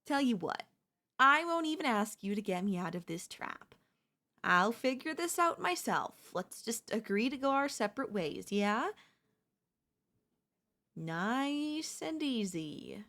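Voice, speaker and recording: A chatty female zombie, played by a woman, wheedles and reasons as if making casual conversation. Her pitch moves in animated swings while the words come slowly. It is sly, comedic audio roleplay with a conversational, coaxing tone. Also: full character voice. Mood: coaxing, wheedling.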